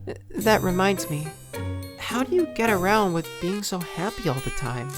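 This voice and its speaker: A woman's voice: medium pitch, big pitch swings, normal speed, over music, lightly acted. A woman playing a shy, self-doubting young model in a fan audio drama, hesitant and stammering. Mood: self-doubting, wistful. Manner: hesitant, soft-spoken, stammering.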